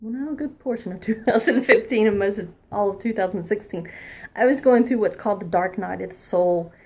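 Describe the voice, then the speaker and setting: A woman past her twenties giving a spiritual oracle card reading, earnest and encouraging, sometimes dropping into a soft whisper. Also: mid-range voice, a little fast, slightly rough. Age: adult past her twenties.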